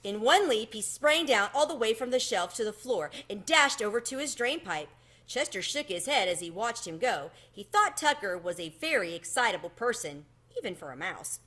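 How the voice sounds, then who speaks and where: A woman reading a children's book aloud in an expressive narrating style. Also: fairly high pitch, moderate pace. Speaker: storybook reader.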